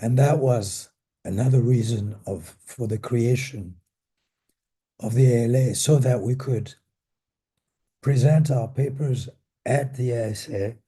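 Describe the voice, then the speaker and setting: An older man, hoarse with a cold and losing his voice, recalls history in a measured, halting panel talk as a retired academic. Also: medium pitch, warm tone. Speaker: panelist, retired professor and former association president.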